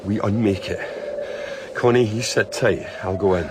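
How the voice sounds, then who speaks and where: A man's voice: fairly low pitch, a little slow, noisy background, natural voice. A man playing a grim, terse, commanding member of a polar research station in an audio drama. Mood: grim resolve.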